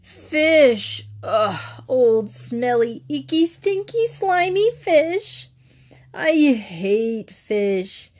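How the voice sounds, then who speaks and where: Lady doing a picky little kid, whiny and gaggy, way high and slow. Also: sing-song, darkish tone, full character voice. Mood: whiny disgust. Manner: whining, gagging, drawn out. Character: whiny picky young boy.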